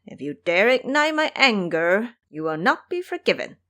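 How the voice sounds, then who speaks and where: A woman's voice: medium pitch, sing-song, normal speed, a bit bright. A woman voicing a cocky, hot-tempered monkey king in an audio drama, imperious, taunting and scolding. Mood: threatening.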